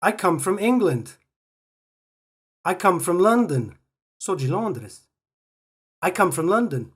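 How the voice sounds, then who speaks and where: Slow, low-pitched woman drilling phrases. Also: big pitch swings, slightly rough. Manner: reads each phrase slowly and carefully, with pauses for repetition. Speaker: phrase recording voice.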